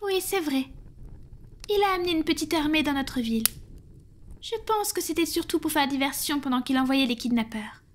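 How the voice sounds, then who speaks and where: A woman playing a powerful, protective female vampire in an ASMR roleplay, speaking in a soft, breathy, formal and self-assured way. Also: high-pitched, animated, moderate pace, slight background noise. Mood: calm, confiding. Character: powerful protective female vampire, commanding yet tender lover.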